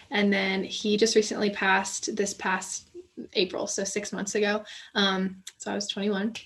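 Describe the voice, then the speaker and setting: Level-voiced young woman. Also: medium pitch. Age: 20s. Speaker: panel guest, college senior.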